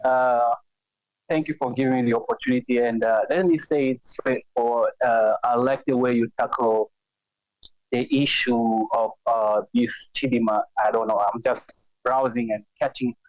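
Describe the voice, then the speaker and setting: A Congolese-accented man calls in to a talk show, talking in halting bursts full of fillers and restarts. His line sounds dull and lo-fi, with a dry, close sound and no room around it. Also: mid-range voice, fairly even intonation, dry recording. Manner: hesitant, many fillers and restarts. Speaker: caller. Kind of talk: phone-in call. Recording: dull lo-fi phone-in audio.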